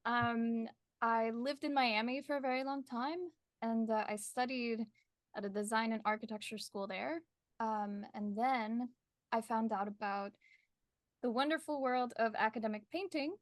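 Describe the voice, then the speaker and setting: A young woman from Colombia with an American accent, a painter chatting as a podcast guest, friendly and quick to laugh. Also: on the high side, fairly even intonation, no room sound, studio quality. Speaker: artist guest. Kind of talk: casual interview chat. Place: her art studio.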